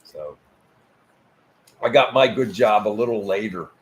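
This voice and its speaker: A man's voice: fairly low pitch, lively intonation, measured pace, slight background noise. A man in his fifties hosting a casual live chat about cars, easygoing, often stammering and laughing as he talks. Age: 50s. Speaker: host.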